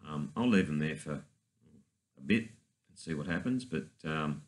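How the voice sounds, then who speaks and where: A man, talking casually and haltingly in a garage as host of his own channel. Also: rumbling low, expressive. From Australia. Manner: rambling, hesitant with many fillers. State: hoarse after a night out. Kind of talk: casual vlog. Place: cluttered garage workshop.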